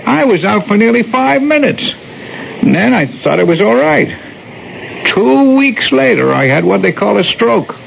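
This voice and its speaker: High-voiced, plaintive, halting ghost father. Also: big pitch swings, creaky, exaggerated voice. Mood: frustrated, sorrowful. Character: gentle, sorrowful ghost of a murdered father. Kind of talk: 1970s radio drama acting. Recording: noisy, dull 1970s radio recording.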